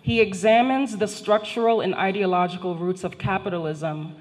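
A woman's voice: mid-range voice, steady tone, normal speed. A middle-aged woman whose first language is not English, giving a formal prepared lecture from a panel table into a handheld microphone, speaking directly to the audience. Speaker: guest speaker, professor. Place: large indoor function hall, seated at a panel table. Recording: long hall reverb.

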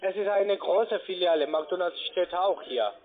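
High-voiced man on a tinny phone, foreign accent. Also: over a noisy crowd. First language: not German. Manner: halting, repetitive. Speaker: call centre agent. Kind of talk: phone sales conversation. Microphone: telephone. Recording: tinny narrowband phone line.

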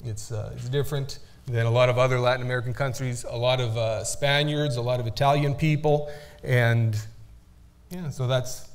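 A man's voice: mid-range voice, steady tone, moderate pace. A middle-aged man giving a casual missionary report from a church pulpit, talking conversationally with frequent filler sounds. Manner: conversational, many "uh" fillers, gestures with hands. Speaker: missionary speaker. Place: church sanctuary at a wooden pulpit. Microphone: pulpit microphone, far camera.

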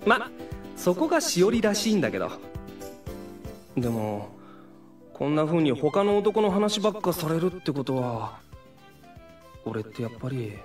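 An actor playing a flustered, lovesick high school boy who stammers and mutters to himself in a Japanese audio drama. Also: very animated, moderate pace, long pauses. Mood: brooding. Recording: music under the voice.

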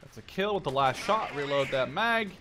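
A man in his twenties giving casual let's play commentary, thinking out loud in short phrases as if talking to himself. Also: a little high, animated, normal speed, background noise. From Canada or Netherlands. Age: 20s. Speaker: gaming YouTuber playing and commentating.

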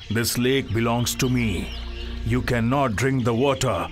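An actor playing a stern, patronizing lake spirit who gives commands and warnings in an animated mythological story. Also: male voice, medium pitch, measured pace, lightly acted. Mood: commanding warning. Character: commanding male lake spirit guarding his water. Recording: music behind.

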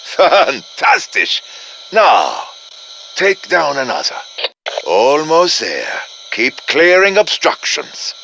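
A man's voice: a little high, huge pitch swings, slow, creaky, pushed and bright, highs cut off, slight background noise, exaggerated voice. An actor playing an earnest professor with a German accent, urging on his fighters in dramatic, courtly video game lines. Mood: rousing. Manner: dramatic, urgent, courtly encouragement. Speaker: game character voice.

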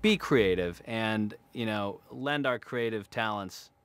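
A man's voice: on the low side, slow, fairly bright tone. A man in his thirties explaining work in a behind-the-scenes interview as an effects professional.

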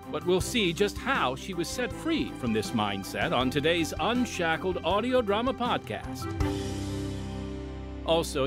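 A man hosting and narrating a scripted audio drama with a polished, dramatic presenter delivery. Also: fairly high pitch. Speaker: host and announcer.

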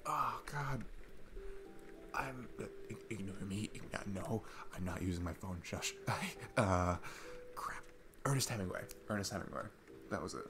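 A young man playing an awkward, chatty single dad on a date in an ASMR roleplay, rambling haltingly and self-deprecatingly. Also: fairly low pitch, expressive. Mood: flustered. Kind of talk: ASMR boyfriend-style roleplay, casual improvised-sounding chat. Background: background music.

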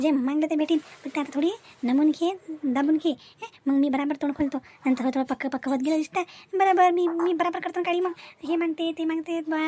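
A very high-pitched, soft-toned cartoon village mother , voiced by a woman. She is greedy and conniving, coaxing and blunt by turns in a Varhadi Marathi accent. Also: slight background noise, lightly acted. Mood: scheming, coaxing. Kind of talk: comic cartoon dialogue.

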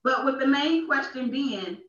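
High, bright woman preaching in echoey church. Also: unhurried, distant mic. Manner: emphatic, repeats phrases for effect. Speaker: minister. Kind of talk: sermon read with deliberate emphasis. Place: small church sanctuary. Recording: echoey, dull church livestream from off.

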